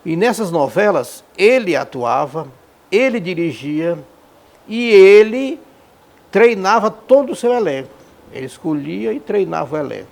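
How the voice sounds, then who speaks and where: Man, creaky voice, emphatic delivery, repeated key words, spontaneous testimony. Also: high voice, sing-song, measured pace, darkish tone. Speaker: interviewee.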